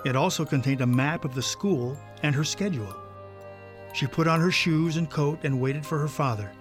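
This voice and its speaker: A man's voice: a little high, moderate pace, faint music behind. A man narrating a scripted children's story.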